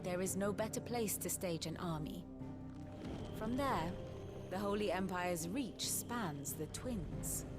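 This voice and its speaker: A woman playing an erudite strategist in a game, giving a formal, articulate, measured war briefing over music. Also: mid-range voice, lightly acted. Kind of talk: scripted expository game narration. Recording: loud music behind the voice.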